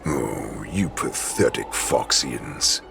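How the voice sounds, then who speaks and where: A man playing an ancient, contemptuous wolf-beast warlord in a video game, gravelly and imperious. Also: a bass voice, animated, fairly bright tone, soft background music, heavily acted. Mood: scornful. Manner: slow, imperious. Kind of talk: dramatic video game voice acting.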